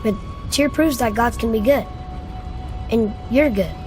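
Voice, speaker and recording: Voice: medium pitch, a little slow, darkish tone, faint music behind, lightly acted. A performer playing an earnest, curious young boy, the son of a god, in a dramatic video game, eager and inquisitive. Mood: earnest, questioning.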